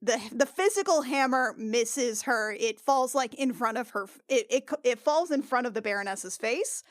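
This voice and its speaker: A woman narrating her moves casually as a player in a tabletop game, halting and thinking aloud, with some swearing. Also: on the high side, expressive, no room sound, studio quality. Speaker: player in a tabletop RPG podcast.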